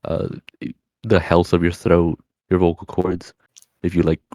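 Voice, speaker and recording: A man with a very low, deep voice who talks softly and sometimes mumbles. He is chatting casually in a dry, close recording. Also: little pitch movement, unhurried, no echo. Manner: soft-spoken, mumbles at times. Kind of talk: casual chat.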